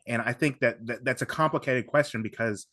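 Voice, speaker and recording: A man's voice: medium pitch, pushed and bright, dry recording. Wordy man in a dry, close recording. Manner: wordy, halting, circles back to rephrase. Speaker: podcast guest. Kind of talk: casual analytical discussion.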